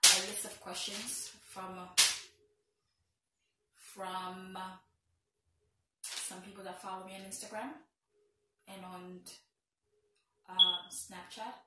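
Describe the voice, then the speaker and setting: A woman's voice: medium pitch, little pitch movement, takes it slowly, pauses often, heavy background noise. A young woman with a Haitian accent, from Haiti, chatting playfully and teasing herself in a casual vlog. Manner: playful, teasing, self-mocking asides. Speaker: vlogger. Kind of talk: casual get-to-know-me vlog. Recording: very echoey room, a bit far from the mic.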